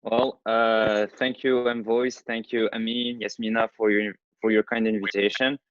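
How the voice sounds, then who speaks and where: A young man from Morocco speaking as a guest on a radio show, modest and relaxed. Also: on the low side, moderate pace, dry recording, lo-fi sound. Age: young adult. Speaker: guest, recent graduate. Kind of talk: casual chat.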